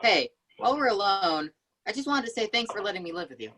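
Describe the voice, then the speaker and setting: Animated woman reading a script part. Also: mid-range voice, fast talker. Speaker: table-read participant reading a character part.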